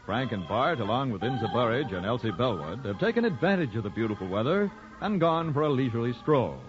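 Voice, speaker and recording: A man's voice: mid-range voice, sing-song, quick pace, lightly acted. A man narrating an old radio serial as a grand, nostalgic, theatrical announcer. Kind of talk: 1940s radio drama announcing, scripted narration. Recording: dull vintage 1940s radio recording with music.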